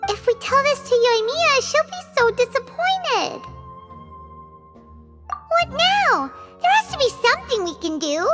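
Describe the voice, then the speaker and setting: A woman voicing a tiny, excitable, childlike fairy companion in a video game, with a squeaky voice and an exclamatory way of talking. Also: unhurried, long pauses, full character voice. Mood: dismayed. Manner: exclamatory, refers to self in third person. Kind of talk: animated video game voice acting. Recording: loud music behind the voice.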